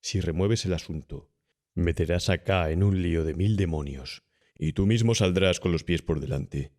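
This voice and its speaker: Man playing a deep-voiced, cynical young doctor. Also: moderate pace, lightly acted. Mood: calm cynical persuasion. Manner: smooth, insolent, calmly persuasive. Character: cynical, cool, worldly young male doctor. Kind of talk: audiobook character acting.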